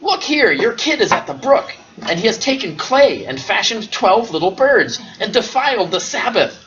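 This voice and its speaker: A man's voice: high voice, very animated, moderate pace, fairly bright tone, dull audio, voice pushed up. A man voicing an indignant townsman telling on a misbehaving child. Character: indignant male townsman tattling.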